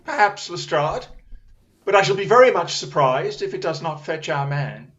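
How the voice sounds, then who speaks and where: Guy playing a shrewd victorian detective, high pitched, bossy and precise, radio play, bit muffled. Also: animated, moderate pace, a bit bright. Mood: commanding. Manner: accusatory, incisive, formal. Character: shrewd, commanding Victorian English detective, coolly relentless.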